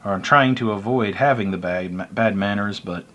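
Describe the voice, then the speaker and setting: A man's voice: lowish voice, normal speed, fairly bright tone. A middle-aged man with an American accent gives a casual, conversational, rambling explanation to camera, recorded indoors close to the mic. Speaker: hobbyist vlogger. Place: small room indoors by a curtained window.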